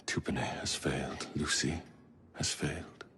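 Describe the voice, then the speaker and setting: Male, extremely low, forceful voice: grim game villain, portentous monologue, faint noise behind. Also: flat delivery, heavily acted. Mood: grave resolve. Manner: portentous, measured, declamatory. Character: grim, resolute male villain mastermind, grandly solemn. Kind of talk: dramatic video game monologue.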